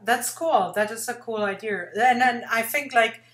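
A woman's voice: on the high side, moderate pace, a bit bright. Chatty woman host on an echoey webcam. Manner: enthusiastic, chatty, rambling with many fillers. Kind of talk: casual interview chat. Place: home room indoors. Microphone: webcam on video call. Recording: roomy webcam sound.

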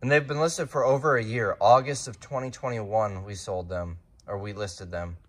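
A man's voice: fairly low pitch, fairly even intonation, moderate pace, a bit bright. Casual man thinking aloud. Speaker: online reseller vlogger. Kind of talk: casual vlog chat. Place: large warehouse and storage room, indoors. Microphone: phone at arm's length.

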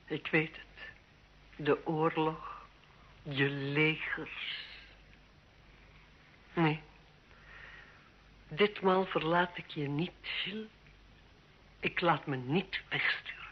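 A woman's voice: deep voice, fairly even intonation, very slow, long pauses, slightly rough, exaggerated voice. An actress playing a proud, bitter, lonely noblewoman wife in a theatrical, reproachful manner in an old Dutch radio drama. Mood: pleading, sorrowful. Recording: dull old radio play recording.

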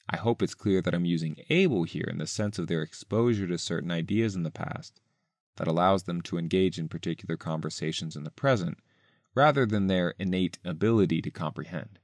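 Low-voiced man reading, very dry sound. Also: dry recording. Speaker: article author and reader. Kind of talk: scripted narration, reading an essay aloud.

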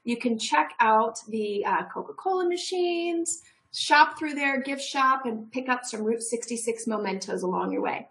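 A woman's voice: on the high side, lively intonation, a little slow, a bit bright, low-quality recording. A middle-aged woman from a tourism office, a guest on a TV show, talking upbeat and promotional over a video call from a room at home.

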